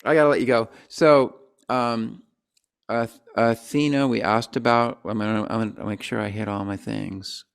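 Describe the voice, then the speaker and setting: A man hosting a casual podcast interview, playful and quick to riff on jokes. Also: on the low side, steady tone, creaky, smooth. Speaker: podcast host.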